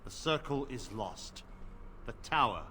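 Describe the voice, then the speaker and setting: A man's voice: mid-range voice, expressive, a little slow, bright, forceful tone. Stern knight commander, middle-aged man, video game, grave commands, faint hiss. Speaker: game character.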